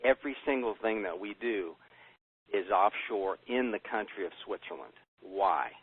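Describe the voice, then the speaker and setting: American man, low husky voice, emphatic sales pitch, rhetorical questions, phone-call audio. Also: moderate pace. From United States. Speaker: business presenter on a conference call. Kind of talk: persuasive pitch on a conference call. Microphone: telephone. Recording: tinny phone line.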